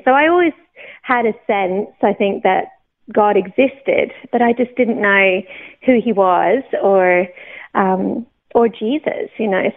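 A middle-aged woman with a British accent telling her personal story as an interview guest, conversational and reflective. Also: medium pitch. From United Kingdom, raised in England and Scotland. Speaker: guest, worship pastor. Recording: phone-like narrow band sound.

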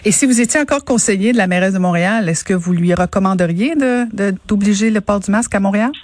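A woman's voice: medium pitch, fast, a little mellow, no room sound, studio quality. A woman with a Quebec French accent hosting a radio interview, asking probing questions with casual, informal asides. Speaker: radio host. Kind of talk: news interview.

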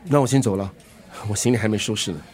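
A man's voice: on the low side, fast, darkish tone, natural voice. An actor playing a breezy, caring middle-aged father and musician in a radio drama, flippant, with a Singaporean accent. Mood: hurried, affectionate. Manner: flippant, bantering. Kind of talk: Mandarin radio drama acting.

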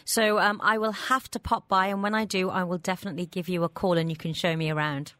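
A woman's voice: lowish voice, little pitch movement, fast talker, a bit bright, dry recording. A woman hosting a radio chat show, friendly and upbeat as she interviews guests. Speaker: radio host.

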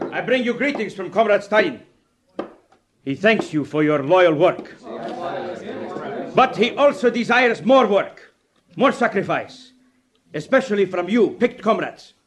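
An actor playing a fervent Soviet agent, declaiming to comrades in a 1950s radio drama. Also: male voice, high voice, expressive, a little slow, pauses often. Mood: solemn greeting. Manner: declamatory, oratorical. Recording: noisy, crackly 1950s radio recording.

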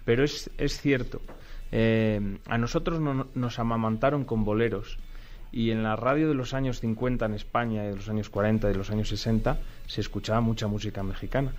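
A man from Spain with a Spanish accent, a band member chatting as a guest in a radio studio, enthusiastic and quick to sing a line. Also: lowish voice, steady tone, darkish tone. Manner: enthusiastic, breaks into singing lines. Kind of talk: radio interview chat.

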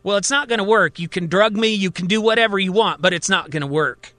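A man's voice: high voice, fast talker, bright, forceful tone, no echo, pitched above the performer's own voice. A man voicing an agitated, defensive male psychiatric patient who insists he is the president, in a radio drama. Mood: defensive, angry. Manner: indignant, insistent, demanding.

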